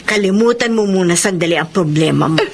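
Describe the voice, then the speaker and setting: An actress playing a frank, busybody neighbor friend in a Filipino radio drama, chatty and emphatic. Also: female voice, lowish voice, fairly quick. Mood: persuasive.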